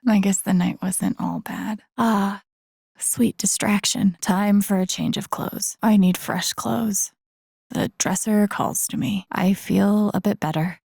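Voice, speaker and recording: A young video game heroine, earnest and self-doubting, musing to herself in an understated way. Her voice is breathy and husky with a gravelly fry, recorded very dry and close. The delivery is naturalistic, introspective and wry. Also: mid-range voice, darkish tone, no room sound. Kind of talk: naturalistic video game voice acting, inner monologue.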